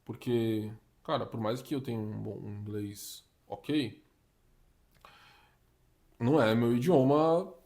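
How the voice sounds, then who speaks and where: Slangy, animated young Brazilian man. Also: medium pitch, slow pace, creaky, long pauses. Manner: slangy and animated, uses "tá ligado", "mano", "papo reto". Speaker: career vlogger giving communication tips. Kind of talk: casual advice vlog.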